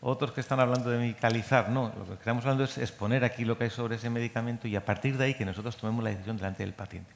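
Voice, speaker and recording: A man's voice: mid-range voice, fairly even intonation, rapid-fire, vocal fry, hardly any pauses, slight background noise. A man coordinating a medical training program gives a rambling conference talk, full of restarts and repeated words. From Madrid. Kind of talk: conference speech. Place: conference hall. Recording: long hall reverb.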